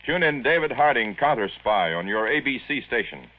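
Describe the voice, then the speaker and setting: A man's voice: mid-range voice, animated, brisk. A man with an American accent reading scripted announcements as a 1940s network radio announcer. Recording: tinny old radio broadcast, music behind.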